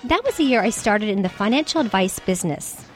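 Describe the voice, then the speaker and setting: A woman co-hosting a radio show, reading scripted promo copy in a bright, sales-like way. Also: mid-range voice, expressive, a little fast. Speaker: radio show co-host, financial advisor. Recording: music bed underneath.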